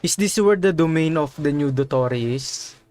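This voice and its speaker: A man's voice: on the high side, normal speed, a little mellow. A man livestreaming a game, reacting casually in informal, slangy speech. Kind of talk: casual reactions while gaming.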